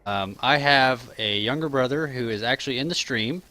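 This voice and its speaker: A man's voice: medium pitch, pushed and bright, poor audio. A man in his thirties from Kansas, easygoing in casual live-stream chat, reading out questions with plenty of um and uh. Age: 30s. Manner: easygoing, drawn-out fillers and quick chuckles.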